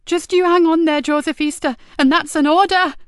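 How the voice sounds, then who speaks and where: A woman's voice: high voice, steady tone, slow, darkish tone, no room sound, studio quality, pitched above the performer's own voice. A woman voicing a protective female police inspector, urgent and raised. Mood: pleading.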